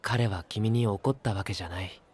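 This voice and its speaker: An earnest young guide in an anime audio drama. His voice is low and calm. He explains things politely and methodically, almost like a manual. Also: slightly rough. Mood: gentle, reassuring. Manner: measured, explanatory, like reading a manual. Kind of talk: Japanese anime voice drama acting.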